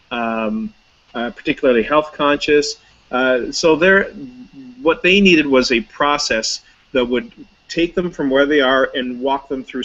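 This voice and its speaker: A man's voice: mid-range voice, a bit bright, lo-fi sound. A man talking casually as an interview guest, chatty and anecdotal, with many fillers and restarts. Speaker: hypnotist, guest.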